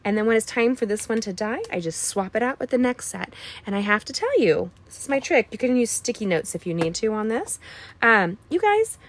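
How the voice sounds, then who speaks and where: A woman's voice: fairly high pitch, big pitch swings, fairly quick. A woman with a slightly scratchy voice hosting a card-making tutorial, chatting casually with playful asides and dropping into whispers. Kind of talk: casual craft tutorial chat.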